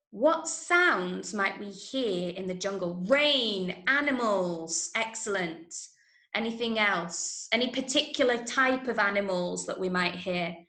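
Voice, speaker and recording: A woman from Manchester with an English accent teaching an online workshop to school pupils in a clear, emphatic, instructive manner, often checking they understand. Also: medium pitch, animated, slow pace, bright, forceful tone, reverberant. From Manchester, England. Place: indoors, online video call.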